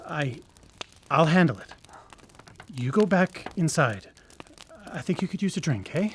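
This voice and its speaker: A man's voice: on the high side, animated, measured pace. A grim, troubled man taking charge in a radio play. He talks in terse mutters, with faint noise around him. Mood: grim, controlled. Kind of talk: live radio drama acting.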